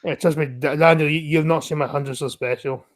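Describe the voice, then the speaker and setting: A man's voice: a little high, fairly even intonation, brisk, dry recording, studio quality. A Scottish man trading brash, boastful banter with friends on a stream. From Scotland.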